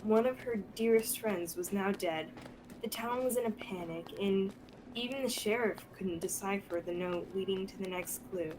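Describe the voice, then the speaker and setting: A woman's voice: medium pitch, normal speed, noisy background. A young woman reading scripted narration for a radio theatre play. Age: young adult.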